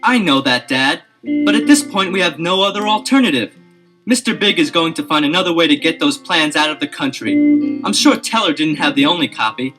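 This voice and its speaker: An actor playing a clever, earnest young detective, with music heard behind him. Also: male voice, a little high, lively intonation, fairly quick, bright, forceful tone. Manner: earnest, eager. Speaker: teen detective Frank Hardy. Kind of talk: 1970s children's audio drama.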